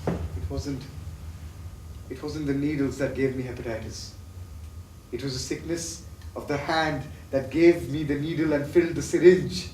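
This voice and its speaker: A man's voice: mid-range voice, steady tone, moderate pace, long pauses, a little mellow, a little hiss or hum, lightly acted. Guy acting anguished addict husband in a stage play, impassioned n poetic, echoey distant recording. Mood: bitter, anguished. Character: sick, tormented married man, lifelong addict confessing lost love. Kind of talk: theatrical stage play dialogue. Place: theatre stage, recorded from afar.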